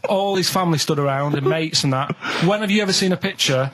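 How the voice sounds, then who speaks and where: A man with a northern English, Manchester accent and a fairly high voice. He chats casually as a radio sidekick, rambling in an earnest, reluctant way. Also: fast talker, fairly bright tone.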